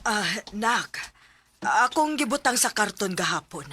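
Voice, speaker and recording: A woman's voice: mid-range voice, expressive, a little slow, background noise, lightly acted. An actress with a Cebuano Filipino accent playing a concerned middle-aged mother, earnestly pleading, in a radio drama. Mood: waking, plain. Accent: Filipino, Cebuano-speaking. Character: concerned mother pleading with her grieving adult daughter. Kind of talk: Philippine radio drama acting.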